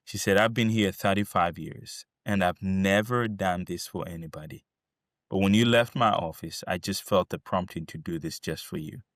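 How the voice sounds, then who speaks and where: A Ugandan man in his thirties with a very low, deep voice shares his life story in an earnest, heartfelt way. He often pauses on fillers and restarts his sentences. The sound is close and dry, with no room echo. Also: fairly bright tone. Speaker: alumnus telling his story. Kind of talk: personal storytelling to camera.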